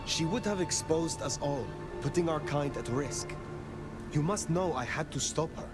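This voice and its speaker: A composed, formal vampire played by a male actor with a fairly high voice for a man. His delivery is measured and probing, as in a video game. Loud music runs behind him. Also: lightly acted. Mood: stern.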